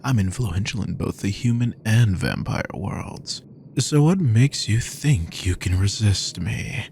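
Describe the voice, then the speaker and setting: A man voicing a possessive, powerful male vampire, speaking slowly with a gravelly voice and frequent whispers, menacing and obsessive, close to the mic. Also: fairly low pitch, expressive, darkish tone, slight background noise. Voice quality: gravelly, slow, often whispering. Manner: menacing, possessive, drawn-out delivery. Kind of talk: roleplay audio drama.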